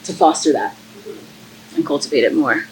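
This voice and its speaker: Low-voiced woman, hesitant panelist. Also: moderate pace, slight background noise, rough recording. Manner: hesitant, many ums and restarts. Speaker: panelist, archive founder. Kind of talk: conference panel discussion. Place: conference session room.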